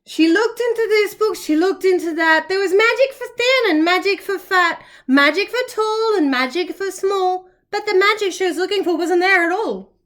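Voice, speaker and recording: A woman reading a picture book to young children in an animated, expressive way, with playful asides. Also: very high voice, moderate pace, hardly any pauses. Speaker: children's story reader, kindergarten teacher.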